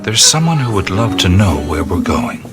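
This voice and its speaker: An actor playing a seasoned, wry jungle adventurer in an audio drama, musing and halting with dry humor. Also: male voice, deep voice, big pitch swings, loud music behind the voice. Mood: earnest.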